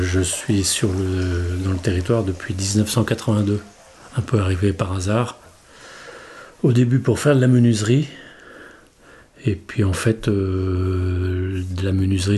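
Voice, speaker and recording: A middle-aged village mayor from Normandy, telling his story in a halting way with many pauses and restarts. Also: sits low, warm tone, husky. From Normandy, France. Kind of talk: interview testimony.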